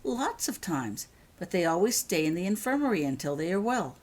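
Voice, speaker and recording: Storybook schoolgirl, earnest and open, slightly husky played by a female narrator. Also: fairly low pitch, big pitch swings, normal speed, darkish tone. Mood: thoughtful. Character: earnest schoolgirl home for the holidays, attached to her cat.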